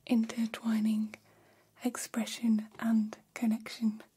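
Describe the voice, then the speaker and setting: A woman voicing a gentle, curious female alien in an ASMR roleplay, whispering softly in formal, halting, literal phrases. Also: fairly high pitch, completely flat delivery, a little hiss or hum. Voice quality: soft, breathy whisper. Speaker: ASMR performer.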